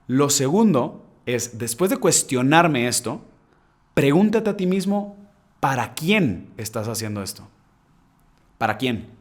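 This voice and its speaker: A man hosting a podcast, talking earnestly and casually, using slang and addressing listeners directly, with his loudness swinging between soft and emphatic. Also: medium pitch. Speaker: podcast host. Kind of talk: casual reflective monologue.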